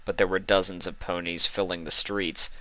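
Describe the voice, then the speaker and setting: A man's voice: sits low, flat delivery, moderate pace, bright, forceful tone, dry recording, rough recording. A man reading a story aloud as narrator, in a dramatic scripted style. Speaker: fan fiction reader, narrator.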